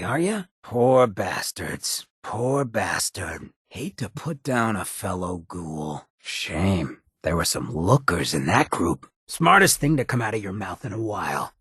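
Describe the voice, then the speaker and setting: A roguish ghoul companion from a video game, with a heavily rasping, fairly low voice. He drawls street slang with laid-back swagger and sardonic loyalty. The sound is dry, with no room on it. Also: big pitch swings, unhurried, hardly any pauses, a bit bright, no room sound, heavily acted. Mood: wry, playful, then resigned. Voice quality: gravelly, raspy rasp.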